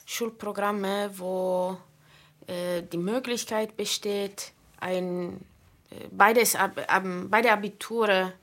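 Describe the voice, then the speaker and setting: Slow, hesitant woman interviewer. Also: mid-range voice, fairly even intonation, a bit bright. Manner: hesitant, many fillers. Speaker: radio interviewer. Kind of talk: radio interview.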